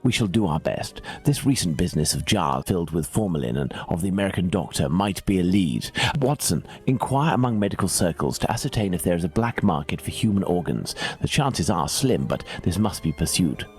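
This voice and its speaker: Deep-voiced British detective, coolly precise. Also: male voice, animated, a little mellow, soft background music. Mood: grave, resolute. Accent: British English. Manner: measured, formal, precise, didactic. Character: calm, analytical middle-aged male Victorian English detective. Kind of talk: dramatic video game dialogue.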